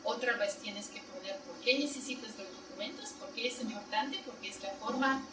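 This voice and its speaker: A woman with a slightly high voice gives a seminar lecture in Spanish, her second language. She lays out points one by one and keeps checking in with her listeners. The recording comes from a distant mic in an echoey room, with loud noise underneath. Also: normal speed. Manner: explains in plain steps, checks listeners with "¿no?" and "¿sí?".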